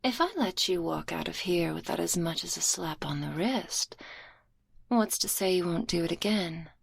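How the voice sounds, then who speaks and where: A woman's voice: lowish voice, normal speed, creaky, slightly rough, dry recording, heavily acted. A woman playing a stern, teasing, domineering school librarian, scolding sarcastically in an intimate, half-whispered ASMR roleplay on a close binaural microphone.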